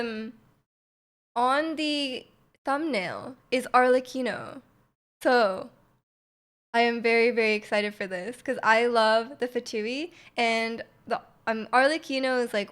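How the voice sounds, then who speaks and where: Chatty young woman, live streamer, halting casual delivery, fairly high voice. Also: fairly bright tone, clean tone. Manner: chatty, halting, repeats phrases. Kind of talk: casual reaction stream chat.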